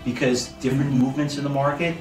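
A man's voice: medium pitch, steady tone, fast talker, over music. A man speaking earnestly and persuasively about his trading school, filmed in a hotel conference room. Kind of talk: promotional testimonial talk. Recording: roomy sound from a distance.

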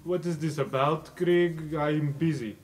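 A man's voice: a little high, fairly even intonation, unhurried, darkish tone, faint music behind, lightly acted. Man playing a brash, defensive elephant-man student. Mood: gruff, dismissive. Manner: blunt, swearing, self-important. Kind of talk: improvised tabletop roleplay.

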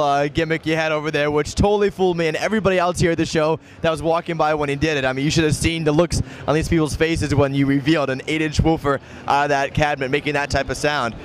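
A man's voice: a little high, slight background noise. A man hosting a casual video interview at a trade show, speaking in a chummy, enthusiastic way. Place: busy indoor trade show floor.

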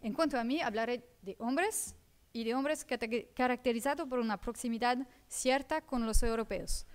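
A woman with a Portuguese accent reading a conference paper in a seminar room, careful and halting, often correcting herself. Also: on the high side. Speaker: academic speaker.

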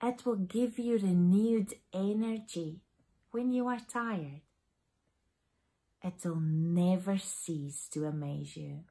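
A woman's voice: lowish voice, slow pace. A woman in her forties with a South African accent, Afrikaans her first language, telling a Bible story to children for a family service from her living room. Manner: animated storytelling to children, asking questions. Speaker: minister.

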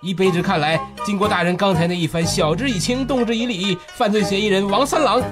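An actor playing a loyal, bumbling bodyguard sidekick in a comedy radio drama, blustering and stammering in colloquial speech. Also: male voice, high voice, fast talker, over music, natural voice. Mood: flustered.

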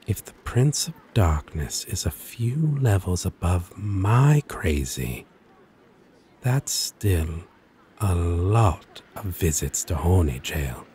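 A man's voice: low voice, animated, dark tone, slight background noise. A man playing a flirtatious, possessive demon lover in an intimate, teasing ASMR roleplay. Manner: slow, teasing, chuckling drawl.

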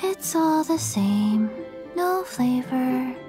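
A small zombie child from a game, high-voiced and deadpan. Each phrase comes out extremely slowly and haltingly, with faint music behind. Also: female voice, exaggerated voice. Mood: blank, pensive. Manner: halting, flat-toned, drowsy deadpan. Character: small, listless zombie girl, childlike and blank.

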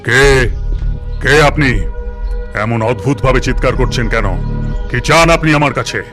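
A man's voice: mid-range voice, unhurried, music in the background, close to an everyday voice. A man voicing a proud, protective king in a cartoon fairy tale, formal and dramatic. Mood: puzzled. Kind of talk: animated fairy-tale acting.

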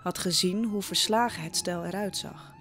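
A woman's voice: lowish voice, normal speed, music in the background. A woman reading scripted narration for an audio drama, as a storyteller.

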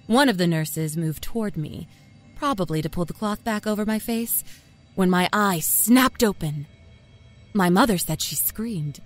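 Woman playing a wry, animated small-town Southern storyteller. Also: mid-range voice, measured pace, faint music behind, lightly acted. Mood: wry, storytelling. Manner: wry, folksy, confiding storyteller. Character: sly small-town Southern woman, a self-confessed professional liar. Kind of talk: dramatic first-person horror story narration. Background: musical score.

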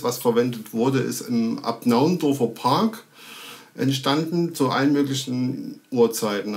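A man in his thirties with a medium-pitched voice. He talks casually, as in a remote interview, and feels his way through sentences haltingly as he thinks aloud. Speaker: sound recordist and film editor. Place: indoor room, video call. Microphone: video call.

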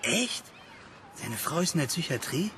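Earnest young researcher in an audio drama, lively pitch swings, noisy ambience. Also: male voice, a little slow, heavily acted. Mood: earnest, insistent. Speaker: actor in a radio play. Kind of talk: naturalistic radio drama dialogue.

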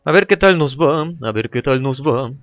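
A man's voice: medium pitch, moderate pace, no room sound, lo-fi sound, faint music behind. A man with a sore throat, casually commentating his own gameplay and often repeating phrases. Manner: relaxed, repeats phrases, chatty.

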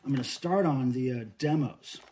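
A man's voice: mid-range voice, normal speed, mellow, a little hiss or hum. A middle-aged American man talking casually in a folksy, informal way, as in an unboxing vlog at home. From United States. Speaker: vlogger, record collector and fan site manager. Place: small indoor room at home.

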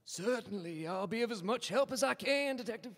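An actor playing a genial, self-satisfied church pastor in a slapstick radio mystery, polite, earnest and chatty. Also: male voice, sits high, lively intonation, brisk, a bit bright, exaggerated voice. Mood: genial, pleased. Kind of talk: slapstick noir radio play acting.

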